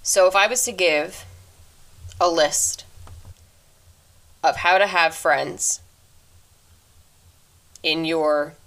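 A woman in her twenties hosting a solo podcast, talking earnestly and reflectively into her microphone, fast by habit, with a deep voice. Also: a bit bright. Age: 20s. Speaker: podcast host. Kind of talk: casual solo advice talk.

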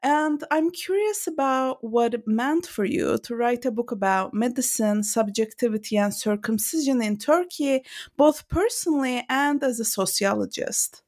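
A woman hosting a book interview podcast, introducing the guest and asking questions in a measured, orderly way. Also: fairly high pitch, a little mellow. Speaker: podcast host.

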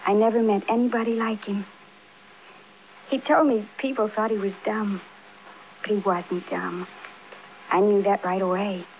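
An actress playing a childlike, defiant housewife confessing in a naive, matter-of-fact way, in 1950s radio crime drama style. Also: female voice, mid-range voice, steady tone, unhurried, long pauses, natural voice. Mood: wistful, detached. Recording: dull, noisy old radio broadcast.

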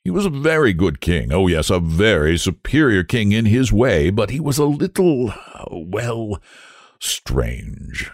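Elderly storybook statesman, voiced by a man: deferential, flustered, careful phrasing, animated pitch. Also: a little slow, no room sound, studio quality, heavily acted. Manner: hesitant, courtly, deferential.

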